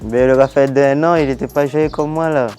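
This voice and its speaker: A man's voice: medium pitch, fairly even intonation, a little fast, darkish tone. A young man, a programme participant, giving an informal testimonial. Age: young adult.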